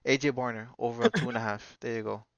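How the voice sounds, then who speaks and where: A man's voice: medium pitch, fairly even intonation, fast, a bit bright, no room sound, highs cut off. A man in his thirties co-hosting a podcast, rambling confidently through his betting picks. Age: 30s. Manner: confident, rambling, repeats himself. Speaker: podcast co-host. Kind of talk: casual chat about sports bets.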